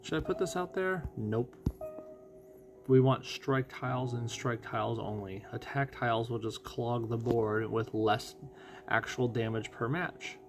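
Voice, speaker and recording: Guy with some vocal fry doing chill gameplay commentary, kinda thinking out loud, music playing behind him. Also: medium pitch, a little slow. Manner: thinks out loud, asking and answering own questions. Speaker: host of a mobile puzzle game playthrough. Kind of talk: casual game commentary.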